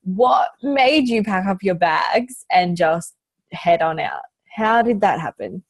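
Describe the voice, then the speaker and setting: A woman's voice: mid-range voice, expressive, slow, no echo. A woman hosting a podcast interview, chatting casually with lots of "like" and "you know". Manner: warm chatty, many fillers like "like" and "you know". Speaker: podcast host.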